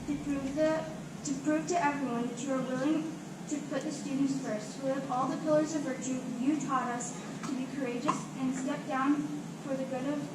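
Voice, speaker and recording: A teenage girl, a student, earnestly reading a formal prepared speech at a public meeting. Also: high-pitched. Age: teens. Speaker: student speaker at a school board meeting. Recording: echoey room, distant mic, clear noise.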